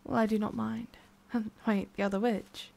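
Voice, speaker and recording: A woman voicing a haughty, prickly witch with a hidden soft side in an ASMR roleplay, soft and often whispery with a creaky edge. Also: a little high, level tone, a little slow. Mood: softening, coy. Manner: soft, often whispery, breathy sighs, curt asides.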